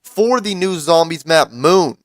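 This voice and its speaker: Man with a raspy cold voice. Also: on the high side, expressive, a little slow, no echo, clean studio sound. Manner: casual, explanatory, addresses viewers as guys. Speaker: gaming YouTuber. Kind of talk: gameplay strategy commentary.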